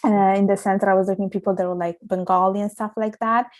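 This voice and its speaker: A woman's voice: medium pitch, fairly even intonation, fast talker, darkish tone. Earnest young woman recounting her upbringing. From Italy. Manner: earnest and reflective, many restarts and "like"s. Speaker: podcast guest. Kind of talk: casual interview storytelling.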